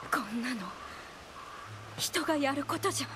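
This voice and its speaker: An actress playing an earnest, compassionate young woman in a video game, serious and soft-hearted in delivery. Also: high voice, moderate pace, noisy background, lightly acted. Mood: dismayed, concerned. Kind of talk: dramatic video game acting.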